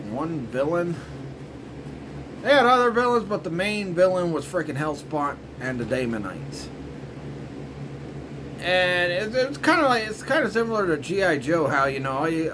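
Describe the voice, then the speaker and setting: A man's voice: on the high side, background noise. A man casually rambling through a commentary as host of a review show, in informal, loosely strung phrases. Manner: informal, repetitive filler phrases like "you know" and "frickin'".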